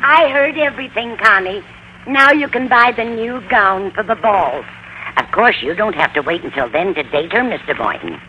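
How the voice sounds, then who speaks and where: A woman's voice: medium pitch, big pitch swings, moderate pace, lo-fi sound, close to an everyday voice. An actress playing a motherly, nosy older American landlady, chatty, in 1950s radio comedy style. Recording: faintly noisy vintage radio recording.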